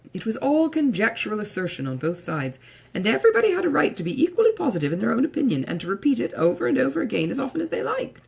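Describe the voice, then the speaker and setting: A woman reading literary narration aloud in a measured, formal storytelling manner, with a husky, slightly creaky voice. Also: on the low side, a little mellow. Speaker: audiobook reader.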